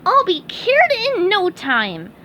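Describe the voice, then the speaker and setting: A woman voicing a cheerful young male sea sponge with a very high, squeaky voice. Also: wildly swooping pitch, takes it slowly, sharp tone, a little hiss or hum, heavily acted, poor audio. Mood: hopeful.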